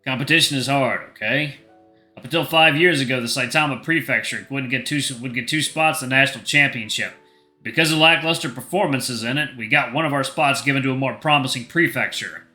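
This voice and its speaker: A man's voice: mid-range voice, fairly quick, sharp tone, echoey room, soft background music. A man acting an earnest, easily flustered and defensive teenage boy in an audio drama.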